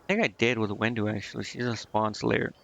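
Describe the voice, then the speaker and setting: Gravelly-voiced man casually streaming a game. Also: lowish voice, fairly even intonation, a little fast, fairly bright tone, lo-fi sound. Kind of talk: casual gameplay commentary, thinking aloud. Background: game music.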